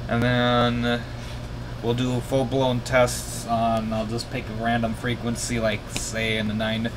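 A man's voice: mid-range voice, takes it slowly. Tired man thinking aloud over background noise. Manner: hesitant, rambling, thinking aloud. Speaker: hobbyist demonstrating shortwave radios. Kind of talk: casual hands-on demonstration. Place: small indoor room with radio equipment. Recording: noisy room, steady background hiss.

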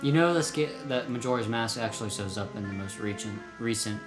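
A man's voice: fairly low pitch, brisk. A young man co-commentating on a video game in casual chat, explaining things in a hesitant, rambling way. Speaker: let's play co-commentator. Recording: game music behind the voice. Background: video game music.

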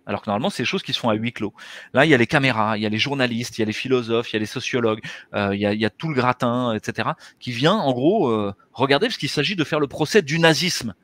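A man's voice: medium pitch, racing, fairly bright tone, dry recording. A middle-aged man, a guest on an interview show, explaining ideas earnestly over a headset mic on a video call from a small room. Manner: earnest, gestures with his hands while explaining. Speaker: interview guest, anesthesiologist and author. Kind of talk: explanatory conversational interview talk.

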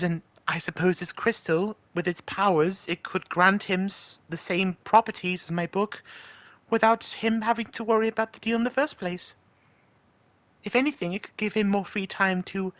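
A man voicing a doting, burdened owl demon prince, earnest and halting, in a cartoon-style monologue. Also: high voice, a bit bright, no echo, pitched above the performer's own voice.

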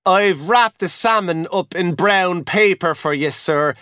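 A man's voice: on the high side, unhurried, a bit bright, clear, resonant tone, no echo, clean studio sound, pitched above the performer's own voice. A man voicing a polite, earnest young hotel boy. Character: polite young Irish hotel boy, weary yet helpful.

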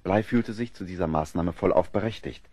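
A man's voice: deep voice, brisk, warm tone, no echo. A man narrating a scripted historical adventure audio drama.

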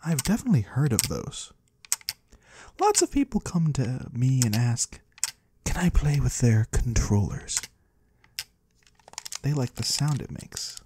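A man playing a mysterious, courteous disembodied trader of the void in a soft-spoken ASMR roleplay, husky and breathy, slow and gently curious. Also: medium pitch, sing-song, noisy background. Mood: curious, pleased. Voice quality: husky, breathy, sometimes whispering. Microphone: close, dry microphone.

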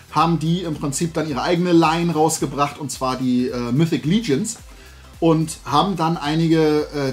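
A man in his forties talking casually and enthusiastically as he presents a toy haul to viewers, rambling along conversationally. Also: fairly high pitch. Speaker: host of a toy collecting video show. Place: small room full of toy shelves, indoors.

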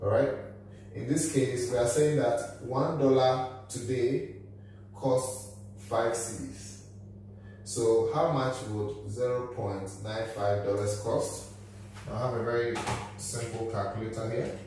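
Slow, methodical man teaching at a whiteboard. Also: medium pitch, fairly even intonation, mellow, distant mic. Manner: slow, methodical, talks through figures step by step. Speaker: finance educator. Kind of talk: whiteboard lesson. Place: small office room with whiteboard. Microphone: camera at a distance. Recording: echoey room, voice from off-mic, faint hum.

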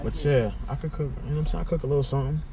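A man's voice: fairly high pitch, rapid-fire. A man in his thirties hosting a prank show, chatting in a laid-back, slangy way inside a parked convertible car. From New York and Nigeria. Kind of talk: casual flirty chat. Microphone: fixed camera on dashboard. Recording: distant mic with street noise.

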